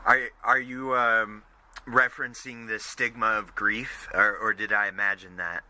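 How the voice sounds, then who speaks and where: A man hosting a podcast in a casual, halting way, introducing material and asking questions with hesitant phrasing. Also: on the low side, steady tone, pushed and bright. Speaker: podcast host.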